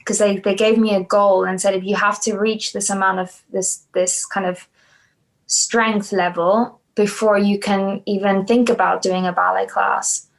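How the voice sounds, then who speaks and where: A woman's voice: medium pitch, level tone, unhurried, gravelly, rough recording. A young woman from South Africa, a dancer being interviewed, talking casually with many hesitations and restarts. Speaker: podcast guest, dancer.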